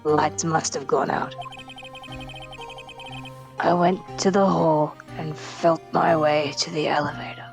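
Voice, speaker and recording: Lady doing an arrogant villain prosecutor guy, low n croaky, theatrical menace, courtroom game dub. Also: steady tone, music in the background. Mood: brooding, gloating. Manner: theatrical, menacing, deliberate delivery. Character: vengeful, arrogant, aging male prosecutor villain confessing murder.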